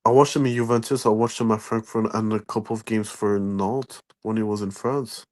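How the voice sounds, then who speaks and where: A man's voice: fairly low pitch, fairly even intonation, a little fast. A man co-hosting a casual football chat, firm and opinionated.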